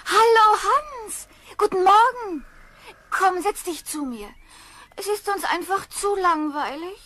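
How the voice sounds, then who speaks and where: Very high, bright country girl. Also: lively intonation, slow, slight background noise, exaggerated voice. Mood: inviting. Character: friendly, generous, easygoing country girl. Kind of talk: children's fairy-tale radio play acting.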